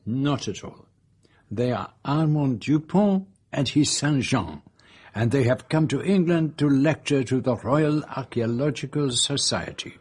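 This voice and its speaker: Fussy french-accented detective in a radio play, dry wit, very polite, bit husky, close dry sound. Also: male voice, mid-range voice, expressive, unhurried, a little mellow, no echo. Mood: dryly amused. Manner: precise, courteous, sprinkles speech with French phrases. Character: famous foreign male detective, fastidious, dryly witty, self-assured. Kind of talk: radio drama dialogue and narration.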